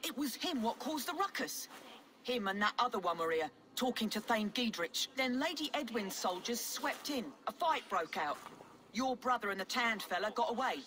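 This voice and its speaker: An actress playing a suspicious, hard-nosed medieval village alewife in a game cutscene, with a curt, colloquial manner. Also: female voice, fairly high pitch, animated, moderate pace, fairly bright tone. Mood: annoyed. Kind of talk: dramatic game cutscene acting.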